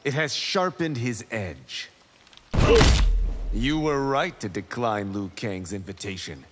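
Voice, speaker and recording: An actor playing a pompous, self-assured warrior in a fighting game. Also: male voice, fairly low pitch, expressive, slow pace, heavy background noise. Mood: haughty. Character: haughty, self-assured male fighter with razor hat.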